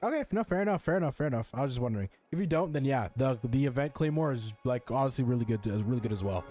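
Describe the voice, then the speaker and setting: Male game streamer, medium pitch, informal colloquial chat. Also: animated, very fast, mellow, faint music behind. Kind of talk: casual chat while playing a game.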